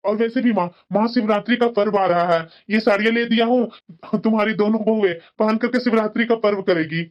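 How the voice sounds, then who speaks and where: A woman's voice: medium pitch, fairly quick, a little mellow, dull audio, close to an everyday voice. A woman voicing a cheerful young son in a homemade family skit, explaining things to his mother at length.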